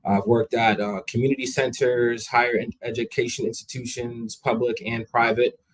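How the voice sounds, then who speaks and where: A young man of Jamaican heritage with a husky, slightly breathy voice, chatting as a guest. He talks with energy and often stumbles and repeats words as he gets a sentence going. His pitch sits in the middle of the range. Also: level tone, moderate pace, rough recording. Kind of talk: casual interview talk.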